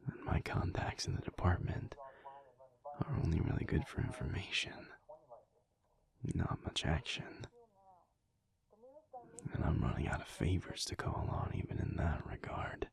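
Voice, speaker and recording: A man playing a weary, troubled alpha werewolf pack leader who confides in a hushed, halting, intimate audio roleplay monologue. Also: sits low, sing-song, full character voice. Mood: weary, frustrated. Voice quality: hushed, breathy whisper. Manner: slow, halting, pensive.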